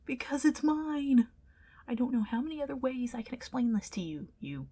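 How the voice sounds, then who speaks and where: A woman's voice: high-pitched, big pitch swings, moderate pace, warm tone, slightly rough, heavily acted. A woman playing an indignant, flustered tsundere ghost in an ASMR roleplay, stammering and defensive as she protests. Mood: grudging, flustered.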